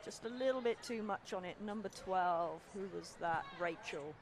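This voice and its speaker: A woman doing relaxed, conversational live football commentary at an outdoor stadium. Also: medium pitch, moderate pace, background noise. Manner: relaxed, conversational, reacts to play. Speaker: match commentator.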